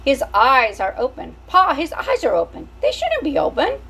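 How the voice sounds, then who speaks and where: Nosy little mouse children, as a woman reader plays them, high and squeaky with a blunt, childish edge. It is a storybook voice, raised well above her narration. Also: huge pitch swings, moderate pace, gravelly, a bit bright, full character voice, poor audio.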